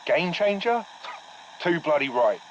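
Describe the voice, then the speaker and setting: Muffled radio audio, proud English army mechanic, higher-set voice, blunt, slangy, rousing, video game. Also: male voice, big pitch swings, slow pace. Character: gruff, proud male military mechanics boss rallying his crew. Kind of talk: game audio log, military pep talk. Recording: dull radio-like audio, faint noise.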